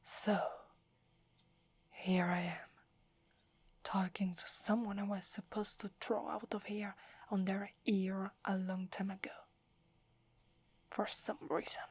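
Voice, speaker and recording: Italian woman doing a slow whispered werewolf girl, haughty, grudging, husky breathy ASMR. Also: mid-range voice, little pitch movement, a little mellow. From Italy. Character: proud, wary young female werewolf, prickly tsundere. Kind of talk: ASMR roleplay.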